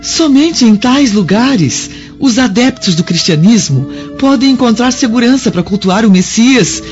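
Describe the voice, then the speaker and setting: An actress playing a devout, gentle and anxious senator's wife in a Brazilian radio drama, formal and pleading, with a Brazilian accent. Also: female voice, on the high side, animated, a little slow. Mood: hesitant, pleading. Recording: dull lo-fi radio drama, music behind.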